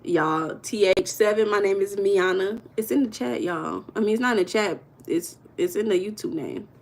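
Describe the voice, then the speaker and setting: An American woman from Los Angeles hosts a live stream and chats casually with her viewers. She teases and banters in loose slang. Her voice sits at an ordinary middle pitch. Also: normal speed, vocal fry. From Los Angeles, USA. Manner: playful, slangy, teasing banter with chat. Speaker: livestream host. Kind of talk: casual live chat reading viewer comments.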